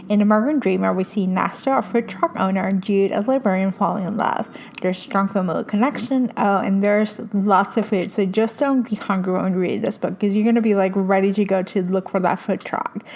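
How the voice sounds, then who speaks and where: A young woman from hosts a casual podcast, her Spanish. She talks eagerly, full of fillers and little restarts, at an ordinary mid pitch for a woman. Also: slight background noise. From Puerto Rico. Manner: enthusiastic, chatty, many fillers. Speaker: podcast host. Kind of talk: casual book recommendations.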